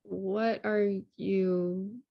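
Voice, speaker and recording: A woman's voice: medium pitch, flat delivery, drawn-out words, gravelly, lo-fi sound. A woman reading a sassy, affectionate girlfriend in a naturalistic drama table read, playful and conversational. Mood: incredulous. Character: sassy, affectionate girlfriend meeting boyfriend's family.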